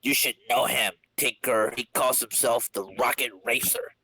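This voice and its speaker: A man's voice: medium pitch, level tone, moderate pace, pushed and bright. A man voicing a vengeful, bitter comic-book villain in a terse, dramatic reading.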